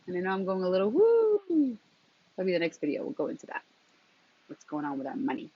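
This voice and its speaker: Husky-voiced woman giving a spiritual pep talk. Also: fairly low pitch, huge pitch swings. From New York, of Colombian background. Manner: deliberate, in short broken phrases with emphatic repetition. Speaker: transformative coach and intuitive guide. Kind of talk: motivational spiritual talk to camera. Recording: dull, lo-fi sound.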